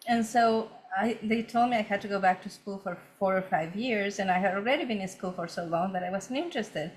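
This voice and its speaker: Guest woman from Ecuador, earnest storyteller, middling pitch, faint background noise. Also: fairly quick. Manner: earnest, many fillers and restarts. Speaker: feng shui consultant guest. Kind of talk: conversational storytelling interview.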